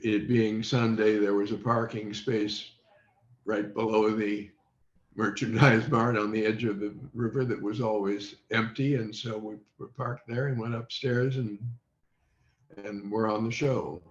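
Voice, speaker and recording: An older man from the American Midwest reminiscing in an interview, halting and pausing often mid-sentence. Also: on the low side, steady tone. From United States, Midwest. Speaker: interview guest recalling radio childhood. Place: indoor room on a video call. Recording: roomy, dull video-call audio.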